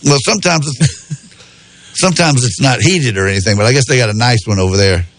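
A man hosting a casual radio talk show, rambling and folksy, with a slightly raspy voice from a cold. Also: medium pitch, a little fast, a bit bright, no echo. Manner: rambling, folksy, self-correcting.

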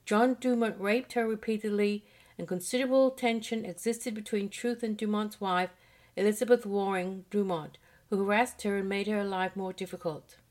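A woman's voice: medium pitch, fairly even intonation, normal speed, darkish tone. Woman reading a history lesson. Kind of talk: read-aloud history lesson.

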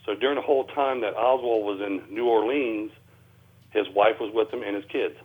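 Over a narrow, tinny phone connection, a man speaks in a low voice. He talks in plain, folksy, loose grammar, explaining things as a guest researcher in a conversational radio interview. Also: moderate pace. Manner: folksy, ungrammatical plain speech. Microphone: telephone.

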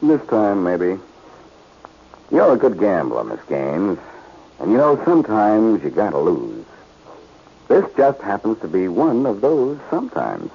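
An actor playing a cool, sardonic amateur sleuth with a wry, taunting manner in 1940s radio drama style. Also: male voice, on the low side, wildly swooping pitch, measured pace, pauses often, slight background noise, full character voice. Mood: wry triumph. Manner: wry, smooth-tongued, taunting. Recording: muffled vintage radio recording.

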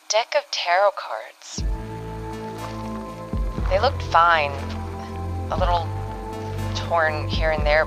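A woman's voice: on the low side, low-quality recording. A woman playing a weary time-travelling agent, recording a hesitant field log in an improvised audio drama. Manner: halting, hesitant, searching for words.

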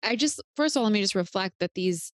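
A woman hosting a podcast interview, responding in a warmly affirming, spiritual way. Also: mid-range voice, expressive, fast talker, dry recording, studio quality. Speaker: podcast host.

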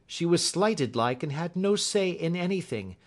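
A man's voice: a little high, animated, moderate pace, pitched above the performer's own voice, lightly acted. A man voicing a gaunt, knowing servant woman, deferential but insistent and folksy, in a storybook reading. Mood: earnest.

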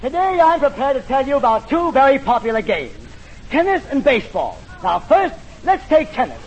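A squeaky flustered gym instructor. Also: male voice, very animated, moderate pace, heavily acted. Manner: hearty, easily flustered, keeps correcting himself. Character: blustery male athletic instructor, flustered. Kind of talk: vintage children's radio comedy. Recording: noisy lo-fi live broadcast with audience.

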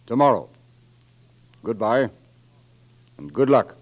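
An actor playing a brisk, authoritative military officer who speaks matter-of-factly, in 1940s radio acting. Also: male voice, medium pitch, big pitch swings. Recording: dull lo-fi old radio recording.

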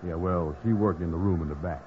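A man's voice: deep voice, brisk, close to an everyday voice. A man playing a boastful, lovestruck schemer in folksy dialect on a 1940s radio comedy. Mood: smug, smitten. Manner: folksy dialect grammar, self-satisfied. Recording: tinny, noisy old radio recording.

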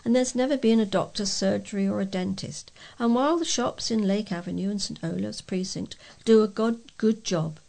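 A British woman reading readers' letters aloud as a volunteer local newsreader, with a measured delivery and the occasional stumble. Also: medium pitch, gravelly, darkish tone.

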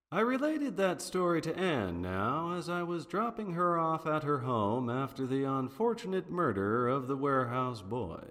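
A man's voice: mid-range voice, long reverb tail. A man playing a wry, wisecracking private detective, narrating in the style of a 1940s noir radio drama. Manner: wry, deadpan.

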